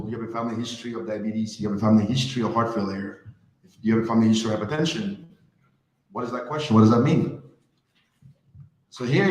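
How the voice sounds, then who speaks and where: A man's voice: mid-range voice, darkish tone. A man from France giving a conversational talk to a live audience, often asking the crowd questions. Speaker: conference speaker. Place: event hall. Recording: roomy hall with faint ambience.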